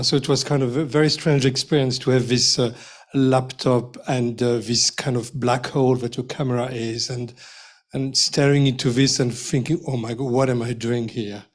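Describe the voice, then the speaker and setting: A man's voice: medium pitch, steady tone, moderate pace. A man speaking on a panel, hesitant and laughing at himself as he talks. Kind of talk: casual panel chat.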